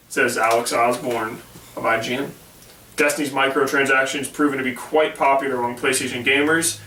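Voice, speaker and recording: A man co-hosting a gaming podcast, hoarse from losing his voice, blunt and dismissive as he reads out news. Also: medium pitch, sharp tone, reverberant, far from the mic, slight background noise, low-quality recording. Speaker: podcast co-host. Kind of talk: casual gaming podcast chat.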